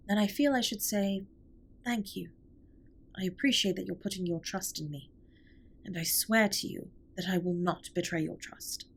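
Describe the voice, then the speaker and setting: A woman playing a formal, caring alien spaceship captain in an intimate audio drama, speaking in a measured, precise way with a breathy, husky voice. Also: medium pitch, close to an everyday voice. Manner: formal, measured, precise wording with few contractions. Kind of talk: scripted roleplay audio drama, intimate comfort monologue.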